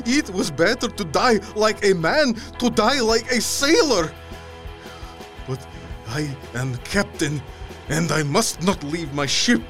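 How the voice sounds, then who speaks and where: An actor playing a desperate, devout ship captain, halting and solemn, weakening but resolute, in a dramatic audio drama monologue. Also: male voice, a little high.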